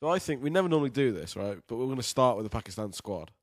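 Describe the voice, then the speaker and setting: A man co-hosting a casual podcast, relaxed and teasing, with dry one-liners. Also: mid-range voice, very fast, dry recording. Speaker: podcast co-host. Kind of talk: casual chat.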